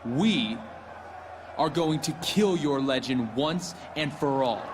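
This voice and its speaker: A man's voice: on the high side, expressive, slow. A man voicing a cocky young wrestler in a game cutscene, menacing and boastful. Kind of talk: video game cutscene dialogue. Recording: game audio with arena crowd and hiss.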